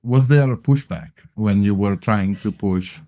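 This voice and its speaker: A man with a lowish, mellow voice hosts an interview podcast. He speaks in a measured, emphatic way and frames his questions with care. The recording comes from a members' club lounge. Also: dry recording. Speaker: podcast host. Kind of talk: interview podcast, scripted opening.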